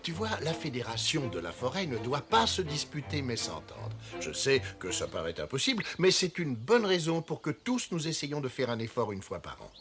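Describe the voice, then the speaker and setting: Gentle elephant father king, patient storyteller, lively intonation, cartoon, music underneath. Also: mid-range voice, normal speed, few pauses. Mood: patient, reflective. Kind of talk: French cartoon dub acting.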